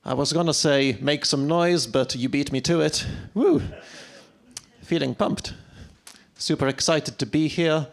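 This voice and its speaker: A man from a half Italian, half English background giving an energetic, jokey conference talk on stage into a microphone. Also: fairly high pitch, unhurried, vocal fry, a little hiss or hum. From half Italian, half English, lives in the UK. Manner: energetic, jokey, cheers into the mic. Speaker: conference speaker.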